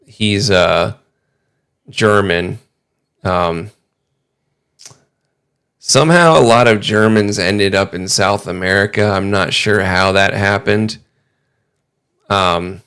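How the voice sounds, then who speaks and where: A man casually chatting as host of a livestream, rambling and halting in an amused way. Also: on the low side, fairly bright tone, clean tone. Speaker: livestream host reacting to videos.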